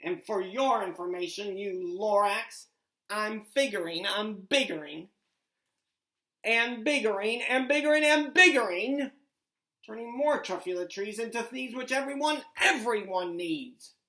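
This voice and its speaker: Woman yelling as a greedy factory owner. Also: medium pitch, unhurried, voice pushed up. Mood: furious defiant. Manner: loud, defiant, yelling. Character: greedy male factory owner shouting defiantly. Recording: roomy echo.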